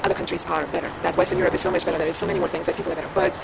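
A woman's voice: sits low, little pitch movement, racing, creaky, heavy background noise. A woman professor answering an interview question in a reflective, unscripted way. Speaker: advertising professor.

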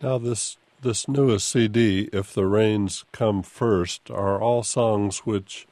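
A man hosting a radio interview in a studio, courteous and relaxed, with frequent fillers. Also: fairly low pitch, slow pace, dry recording. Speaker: radio host.

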